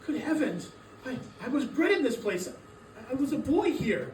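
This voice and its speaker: A man's voice: very high voice, big pitch swings, normal speed, darkish tone, a little hiss or hum. A man playing a nervous, grumbling old miser in a theatrical reading, with a stammering, hesitant delivery. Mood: amazed. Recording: distant echoey room, music behind.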